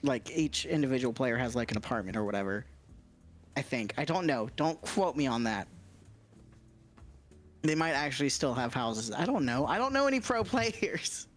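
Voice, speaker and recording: A man's voice: mid-range voice, animated, normal speed. A man chatting casually as a livestream guest, explaining things in a hesitant, circling way with frequent hedging.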